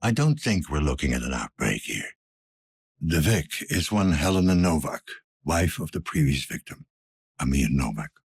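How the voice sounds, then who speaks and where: A man playing a weary, gruff older police detective in a cyberpunk video game, speaking tersely in a low-key, world-weary way. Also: sing-song, normal speed, dry recording, clean studio sound, heavily acted. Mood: grim, clinical. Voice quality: husky, gravelly.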